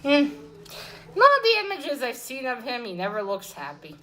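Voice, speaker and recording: A woman's voice: fairly high pitch, wildly swooping pitch, moderate pace, pushed and bright, slight background noise, low-quality recording. A woman giving a casual, unscripted comic book review, rambling and hesitant, correcting herself as she goes, with blunt informal language.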